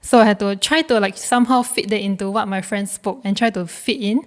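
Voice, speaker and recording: A woman in her twenties from Korea, a native Korean speaker, answering interview questions plainly with soft laughs. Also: mid-range voice, fairly quick. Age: 20s. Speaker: guest, university student.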